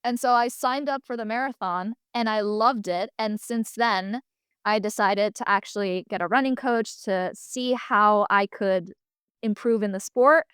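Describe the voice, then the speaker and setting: A woman's voice: medium pitch, unhurried, a bit bright, smooth, dry recording, clean studio sound. A woman in her twenties, raised in Italy and Spain, speaking with an American accent as a podcast guest, telling her story in a chatty way. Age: 20s. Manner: chatty, storytelling with quoted asides. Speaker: podcast guest, marathon runner. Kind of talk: casual interview chat.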